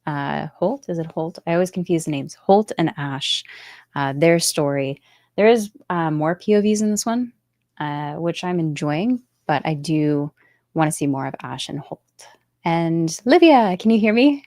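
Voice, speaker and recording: Female book-show host, low register, casual conversational delivery, dry sound with little room. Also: lively intonation, measured pace, a little mellow, no room sound. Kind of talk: casual book chat interview.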